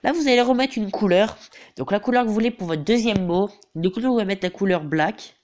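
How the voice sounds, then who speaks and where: A man's voice: high-pitched, brisk, darkish tone. Stuffy-nosed teen boy hesitating through a tutorial. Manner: hesitant, filler-heavy, repeats "donc voilà". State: has a head cold. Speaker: gaming YouTuber. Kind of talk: game tutorial voice-over.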